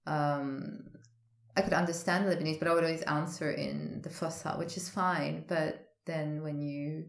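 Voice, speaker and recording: A woman's voice: low voice, fairly even intonation, moderate pace, vocal fry, echoey room. A woman who grew up in Australia, answering interview questions in a thoughtful, hesitant way with many fillers and restarts. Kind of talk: casual interview conversation.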